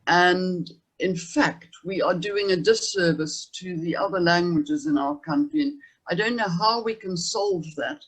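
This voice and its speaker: A South African man speaks on a discussion panel in a fairly high voice. His delivery is formal and measured, halting as he builds each point. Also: fairly bright tone, husky, low-quality recording.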